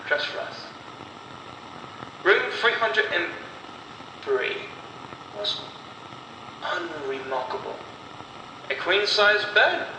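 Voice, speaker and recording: Slow, echoey male horror narrator. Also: high voice. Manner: deliberate, dramatic, broken phrasing with pauses. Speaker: storyteller and narrator of horror stories. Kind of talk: scripted horror story narration. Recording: roomy echo, distant mic, clear background noise.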